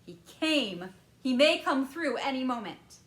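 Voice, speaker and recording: A woman voicing an eccentric, excitable male candy maker who exclaims fussily, in a storybook reading. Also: sits high, pitched above the performer's own voice, full character voice. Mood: worried. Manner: fussy, exclamatory, dramatic pauses. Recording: roomy echo.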